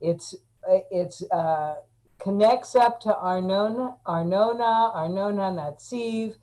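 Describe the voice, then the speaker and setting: Woman, unhurried pace, halting delivery, frequent fillers, casual chat. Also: medium pitch, mellow, poor audio. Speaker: interview guest.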